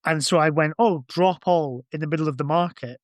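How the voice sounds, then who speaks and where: Guy with a lightish high voice, rambly casual podcast chat, really dry sound. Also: brisk, dry recording. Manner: rambling, thinking aloud. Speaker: podcast guest or co-host.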